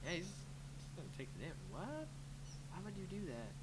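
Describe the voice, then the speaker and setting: A man's voice: sits high, wildly swooping pitch, a little fast. A man giving live gameplay commentary, thinking aloud in a casual, reactive, muttering way as he streams a card game. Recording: clear background noise with hum.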